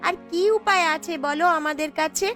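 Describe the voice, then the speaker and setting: A woman's voice: very high-pitched, fairly even intonation, normal speed, fairly bright tone, faint music behind, voice pushed up. A woman voicing a poor, sweet young granddaughter in a fairy tale cartoon, with a girlish, plaintive manner. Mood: worried, caring.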